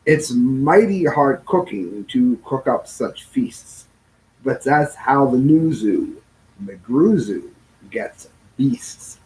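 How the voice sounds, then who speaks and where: Slow-paced man reading a rhyming children's storybook. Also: mid-range voice, very animated, a little mellow, poor audio. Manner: stumbles and corrects himself while reading. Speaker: storybook reader. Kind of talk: read-aloud children's storybook in rhyme.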